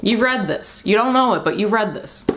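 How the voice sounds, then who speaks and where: A woman's voice: medium pitch, lively intonation, moderate pace, fairly bright tone, slight background noise. A young woman giving a casual, rambling book review as a vlogger, speaking candidly and informally with emphatic repeated words.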